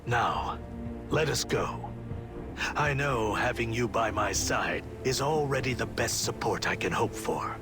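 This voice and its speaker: A man plays a grave, courteous fantasy game ally of medium pitch. He speaks formally and earnestly in measured phrases. His voice rings with long reverb, with clear music behind it. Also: lively intonation, close to an everyday voice. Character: grave, courteous male ally explaining dark events. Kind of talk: scripted game cutscene dialogue.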